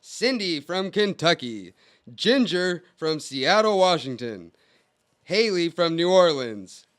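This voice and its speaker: A man's voice: on the high side, sing-song, takes it slowly, a bit bright. A man doing scripted announcing for an old-time children's radio show, addressing young listeners.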